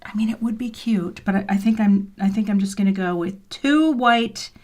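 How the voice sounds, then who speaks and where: A woman's voice: medium pitch, normal speed, darkish tone, slight background noise. Chatty fifty-something woman crafting live. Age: 50s. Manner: chatty and self-deprecating, muttering half-whispered asides while working. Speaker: card-making livestream host. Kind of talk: casual crafting livestream chat. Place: home craft room.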